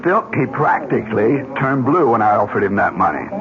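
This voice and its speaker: A man's voice: medium pitch, sing-song, a little fast, a crowd in the background. A man playing a cynical, scornful American corporate manager in a radio drama, talking in a slangy, sardonic way. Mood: incredulous, resentful. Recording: muffled old radio, faint noise.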